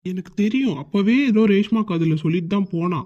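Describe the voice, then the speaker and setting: A woman putting on the voice of a calm, level-headed young man. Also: mid-range voice, a little fast, no room sound, highs cut off, voice pushed down, close to an everyday voice.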